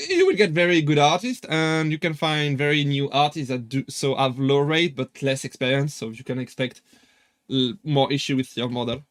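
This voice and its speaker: Young french-accented guy streaming, kinda high voice, lots of uh and um, super dry mic. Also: fairly bright tone, no room sound. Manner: hesitant, many fillers and restarts. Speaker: VTuber streamer. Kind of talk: casual live-stream chat.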